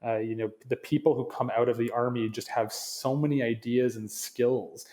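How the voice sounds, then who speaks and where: A man's voice: mid-range voice, steady tone, moderate pace, a little mellow. A man with a slight Canadian accent touched with New England, a marketing executive chatting earnestly as a podcast guest, often restarting phrases. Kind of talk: casual interview chat.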